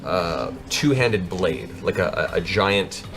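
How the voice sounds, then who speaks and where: A man's voice: low-pitched, animated, slow pace, over music. A man running a tabletop fantasy game as game master, improvising descriptive, dramatic narration.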